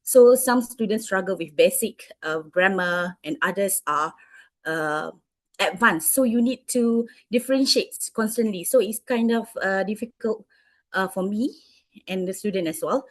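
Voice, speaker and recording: A woman in her thirties from Malaysia with a Malaysian English accent, an English teacher answering interview questions with frequent pauses and restarts. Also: medium pitch, no echo. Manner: hesitant, many fillers and restarts.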